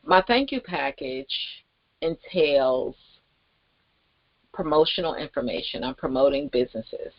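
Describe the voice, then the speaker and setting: A woman giving an informal, rambling promotional talk as host, with halting, emphatic delivery and pauses mid-sentence. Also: low-pitched, no echo, lo-fi sound. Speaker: network marketing promoter and host.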